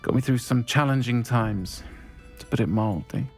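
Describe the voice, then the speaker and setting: An actor playing a kindly, reflective, grateful man in a video game, with a gentle, understated, articulate manner. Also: medium pitch, moderate pace, soft background music, close to an everyday voice. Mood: wistful, fond.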